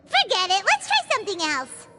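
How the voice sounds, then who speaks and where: A tiny, chirpy floating companion from a game, talking in a very high, bouncy voice that leaps up and down. She is blunt and nosy. Bright game music plays loudly behind her. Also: wildly swooping pitch, fairly quick, exaggerated voice. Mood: curious. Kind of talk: game cutscene voice acting. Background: game soundtrack music.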